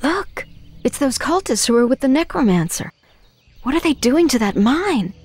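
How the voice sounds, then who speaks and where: An actress voicing a thoughtful, earnest young sorceress in a fantasy game, a loyal and composed companion. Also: female voice, sits high, sing-song, normal speed, darkish tone, husky, exaggerated voice. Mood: alarmed curiosity.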